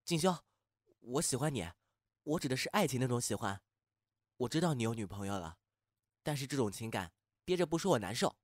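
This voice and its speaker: A flustered young man in an audio drama, played by a male actor, his voice swinging widely in pitch on a dry, close recording. He mutters, sighs and questions himself like someone shyly and impulsively in love. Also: very animated, fairly quick, long pauses, dry recording. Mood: nervous, earnest. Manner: muttering, agitated, sighing, self-questioning. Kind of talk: Chinese audio drama acting.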